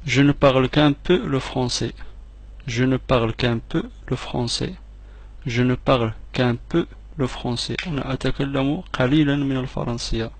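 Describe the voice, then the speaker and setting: A man gives a voice-over lesson. He says each phrase slowly and clearly several times, then rushes through a short explanation. His voice sits at an ordinary middle pitch. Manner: repeats each phrase slowly, glosses it quickly in Arabic. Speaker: language teacher. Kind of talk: French lesson with slow repeated drills. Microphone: voice-over for slides.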